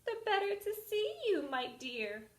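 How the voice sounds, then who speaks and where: A woman voicing a sly, greedy male wolf from a storybook, falsely courteous and smooth-talking. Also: very high voice, sing-song, measured pace, reverberant, pitched above the performer's own voice, heavily acted. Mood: sweetly scheming. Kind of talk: storybook read-aloud character voice.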